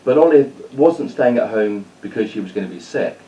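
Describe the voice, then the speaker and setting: A man's voice: fairly low pitch, lively intonation, moderate pace, mellow, distant mic, lo-fi sound. A man reading a children's story aloud as storyteller. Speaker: storyteller, school staff reader.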